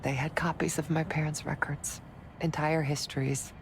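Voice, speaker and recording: A woman's voice: low-pitched, little pitch movement, moderate pace. A woman playing a troubled intelligence agent recalling her past in a dramatic game cutscene. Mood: sombre, reflective. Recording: loud noise behind the voice.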